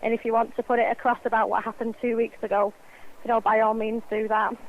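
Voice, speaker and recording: A woman's voice: fairly high pitch, little pitch movement, fast. A woman with an English accent handling a complaint on the phone as store staff, explaining insistently and repeating her points. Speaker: supermarket staff taking a complaint call. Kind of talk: customer service phone conversation. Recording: muffled lo-fi phone line.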